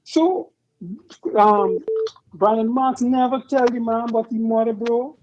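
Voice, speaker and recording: A man calling in to argue his point insistently, in rapid bursts and saying bro often. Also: very high-pitched, a little mellow, slight background noise. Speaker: caller. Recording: muffled phone line.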